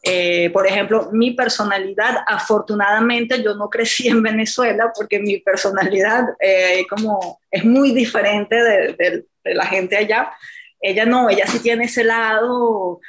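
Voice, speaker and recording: A middle-aged woman from France, a native French speaker, sharing personal stories over a video call and often pausing to search for words. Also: mid-range voice, fairly even intonation, fairly bright tone, a little hiss or hum, low-quality recording. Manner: halting, searching for words, many fillers. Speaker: group participant.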